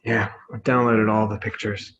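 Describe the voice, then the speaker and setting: A man's voice: fairly low pitch, level tone, moderate pace, fairly bright tone. A man acting in a table read as a skeptical, matter-of-fact tech guy in an activist crew. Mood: casual. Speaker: actor in a screenplay table read. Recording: muffled, noisy home microphone.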